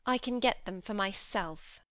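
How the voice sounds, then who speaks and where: A man's voice: sits high, unhurried, fairly bright tone, no echo, voice pushed up. A man voicing a scornful, sulky young woman who snaps her words. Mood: snappish. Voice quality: snappish, petulant.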